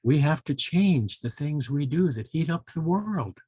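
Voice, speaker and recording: A man's voice: fairly high pitch, lively intonation, measured pace, warm tone, slightly rough, dry recording. An older man reading and chatting to young children in a folksy, musing way. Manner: folksy, musing, with interjections like hmm and well. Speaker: volunteer storybook reader for children.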